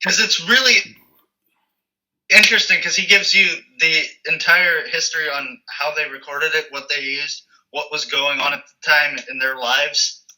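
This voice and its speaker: A man with a very bright, sharp voice, a bit high for a man, chats easily as an interview guest. He often stumbles at the start of a sentence, then speaks on in a relaxed way. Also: unhurried, rough recording. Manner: easygoing, stammers on starts. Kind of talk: casual chat.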